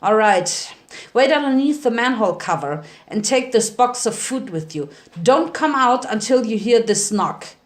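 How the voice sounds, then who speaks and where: A woman's voice: fairly high pitch, animated, measured pace. Woman as a guilt-ridden, weary stallion father. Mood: weary, hesitant.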